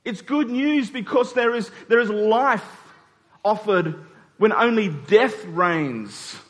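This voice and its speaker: High-voiced man preaching conversationally. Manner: rhetorical check-ins with the congregation; emphatic repetition of key phrases. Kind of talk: conversational church sermon.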